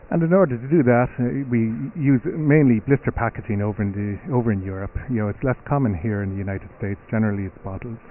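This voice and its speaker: A man's voice: medium pitch, muffled recording, a little hiss or hum. A middle-aged Irish man talking as a guest on a live radio interview, often restarting words and using "you know". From Ireland. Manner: repeats words, many "you know" fillers. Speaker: company CEO guest.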